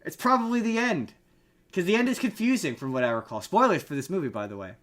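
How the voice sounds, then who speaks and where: A man's voice: high voice, animated, fast talker, fairly bright tone. A man hosting a podcast, chatting casually about film and TV in a rambling, jokey way and often repeating himself. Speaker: podcast host.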